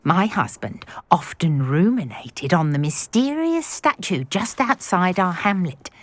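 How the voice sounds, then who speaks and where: A woman's voice: lowish voice, very animated, unhurried, no echo. A woman voicing a chatty, genteel older widow in a video game, wordy and formal. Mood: conspiratorial, eager. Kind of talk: video game dialogue acting.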